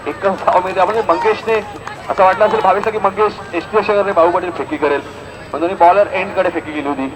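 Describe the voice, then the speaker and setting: A man's voice: sits high, fairly even intonation, quick pace, low-quality recording. A man with a Maharashtrian accent giving live local cricket commentary, repeating score lines and dropping chatty asides to listeners. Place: outdoors at a cricket ground.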